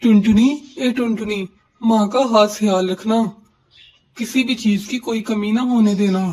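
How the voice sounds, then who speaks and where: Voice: medium pitch, steady tone, measured pace, a little mellow, lightly acted, poor audio. An earnest male pigeon from a cartoon fable, put on by a voice actor. He is a hardworking son and husband with a plain delivery. A faint layer of background noise sits under the voice. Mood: caring. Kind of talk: Hindi cartoon voice acting.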